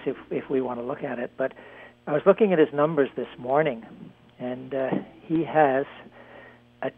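A man analyzing baseball and hockey as a guest, measured and hesitant with many fillers. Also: medium pitch. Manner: measured, hesitant, lots of uhs. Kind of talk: phone-in sports analysis. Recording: tinny phone line.

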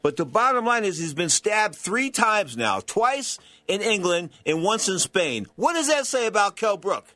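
A man's voice: sits high, sing-song, a little slow, hardly any pauses, sharp tone, no room sound. A man interviewing a boxer, asking blunt, direct questions.

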